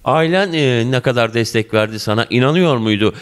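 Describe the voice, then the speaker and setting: A middle-aged man conducting an interview in a school office, speaking into a handheld mic. He is effusive and flowery, addressing both the guest and the viewers with warm terms of endearment. His voice sits at an ordinary middle pitch. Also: lively intonation, fairly quick, clean tone. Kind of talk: local TV interview.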